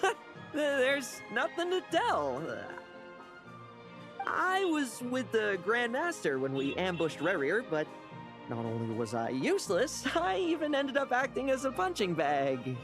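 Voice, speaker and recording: A woman voicing a playful, sharp-edged young knight with a theatrical delivery in an anime-style video game. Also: a little high, very animated, unhurried, over music. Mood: downcast, self-reproaching.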